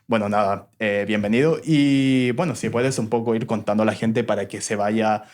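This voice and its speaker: Low, flat-voiced male podcast host. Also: moderate pace. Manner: hesitant, many restarts and fillers. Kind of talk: casual interview hosting.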